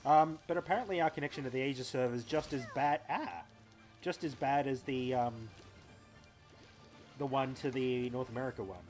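A man's voice: medium pitch, normal speed, a little mellow, soft background music. Chatty Australian guy streaming a game. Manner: chatty, playful, talks to himself and the game. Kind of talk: live gameplay commentary.

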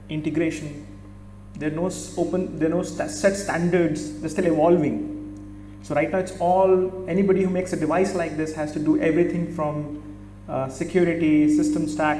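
A man's voice: a little high, darkish tone. A middle-aged man from India giving a conference keynote from a podium on a large auditorium stage, asking the audience questions and correcting himself as he goes. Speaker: keynote speaker. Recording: echoey hall, faint hum.

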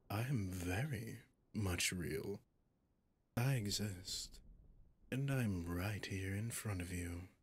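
A man playing a possessive, mocking male demon in an ASMR roleplay, seductive and sinister, with a breathy, often whispering, teasing drawl. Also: sits low, fairly bright tone, heavily acted. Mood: mock tender.

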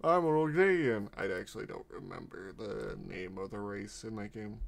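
A man's voice: lowish voice, big pitch swings, normal speed, creaky, a little hiss or hum. A man casually commenting on a gameplay video, rambling and stumbling over his words as he corrects himself. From half Mexican. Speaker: vtuber reacting to a gameplay video.

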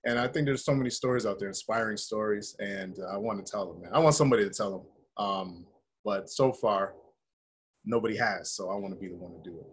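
A middle-aged American man with a husky voice, talking informally as an interview guest on a video call. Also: lowish voice, quick pace. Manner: informal, conversational, peppers talk with "man" and "you know". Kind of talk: casual interview chat. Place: indoor room with bare wall, video call.

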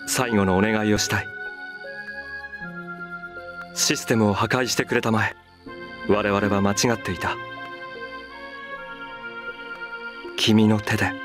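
An actor playing a serene, weary, ancient master in a video game cutscene, speaking in a measured, soft-spoken, reflective way. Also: male voice, fairly low pitch, lightly acted. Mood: solemn, reflective. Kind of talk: dramatic game cutscene acting. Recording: game music behind the voice.

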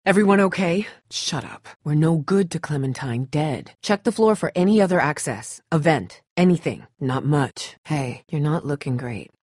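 A woman's voice: deep voice, lively intonation, moderate pace, hardly any pauses, a little mellow, breathy edge, no room sound, studio quality. A woman playing a tough, blunt but caring survivor of an apocalypse in a dramatic video game. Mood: tense, blunt. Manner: blunt, urgent, no-nonsense.

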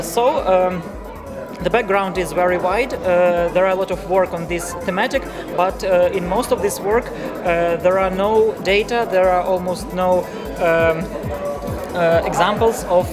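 Hesitant young Ukrainian man over music. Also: high-pitched. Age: 20s. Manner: halting, hesitant delivery with frequent fillers and restarts. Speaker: researcher presenting a scientific poster. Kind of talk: semi-prepared research explanation to camera. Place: large indoor conference poster hall.

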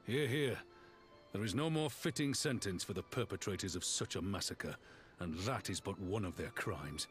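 Male actor as middle-aged fantasy warrior, deep voice, grave formal delivery, video game dialogue, prominent music behind. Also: moderate pace, close to an everyday voice. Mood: resolute. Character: stern, weary, honourable middle-aged warrior general.

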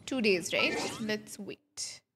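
A woman's voice: medium pitch, lively intonation, slow pace, very noisy. A young woman streaming a game, chatting and reacting casually to it. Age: young adult. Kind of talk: casual livestream reaction chat.

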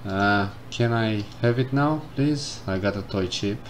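A man commenting casually on a game as he plays, reading the game text aloud and thinking out loud. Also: fairly low pitch, a little slow, slight background noise. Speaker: gameplay streamer. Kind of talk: casual let's-play commentary. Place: indoors at a computer. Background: game music.

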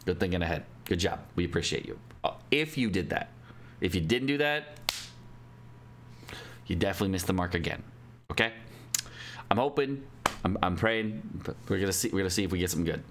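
A man's voice: sits low, expressive, a little fast, pauses often, background noise. A young adult man giving casual gaming news commentary as a streamer, informal and slangy in manner. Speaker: game streamer. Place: indoor home streaming setup.

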